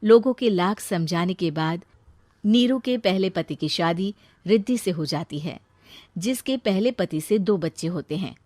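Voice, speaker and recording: A woman's voice: fairly low pitch, lively intonation, measured pace, a little mellow, no room sound, clean studio sound. A woman telling a scripted moral story as narrator.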